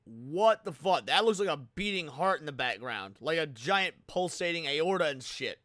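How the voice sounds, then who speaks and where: A man's voice: a little high, lively intonation, moderate pace, pushed and bright, no room sound, clean studio sound. A man hosting a livestream, giving casual reaction commentary full of swearing and slang. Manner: profane, slangy. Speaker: livestream host. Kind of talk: casual live reaction commentary to horror series.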